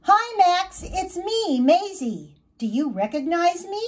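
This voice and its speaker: A woman voicing a young female monarch butterfly, sweet and excitable, with a gushing, expressive delivery. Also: extremely high pitch, slow pace, voice pushed up, heavily acted. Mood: excited greeting. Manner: gushing, wide-eyed, expressive.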